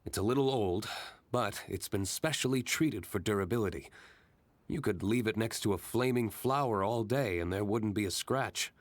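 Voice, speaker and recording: Man acting a low-voiced, reserved young gentleman. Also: dry recording, natural voice. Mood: calm, helpful. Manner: measured, understated, polite. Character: reserved, courteous young winery master. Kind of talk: video game character voice acting.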